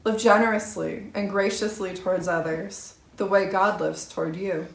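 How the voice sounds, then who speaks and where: A woman's voice: medium pitch, steady tone, normal speed, vocal fry. A woman reading scripture aloud for a church, with emphatic, direct delivery. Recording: roomy, echoing sound.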